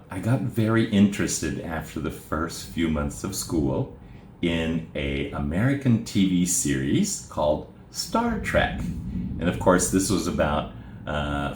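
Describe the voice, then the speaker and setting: A middle-aged man from Japan, a native Japanese speaker, giving an informal spoken presentation in a measured, plain way with frequent "uh" pauses. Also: rumbling low. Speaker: presenter telling his life story. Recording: roomy echo, faint background hiss.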